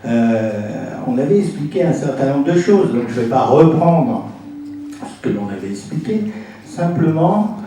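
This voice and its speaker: A man presents informally to a local council as its president, explaining things with frequent pauses and tag words. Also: mid-range voice, sing-song, mellow. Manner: explanatory, often pausing and adding "hein" as a tag. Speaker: intercommunal council president addressing a meeting. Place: indoor council meeting room. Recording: echoey room, distant mic, faint noise.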